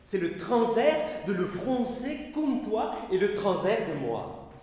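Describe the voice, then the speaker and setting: A man giving a live sound poetry reading on a festival stage, reciting slowly and measuredly with wide swings in pitch and loudness. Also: very animated. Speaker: poetry performer. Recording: very echoey hall, live festival capture.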